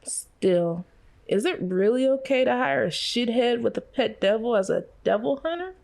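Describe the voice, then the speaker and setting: A woman's voice: fairly high pitch, lively intonation, moderate pace, vocal fry, a little mellow. A woman playing a sneering, contemptuous gangster underling in a fan-made motion comic, with a mocking delivery.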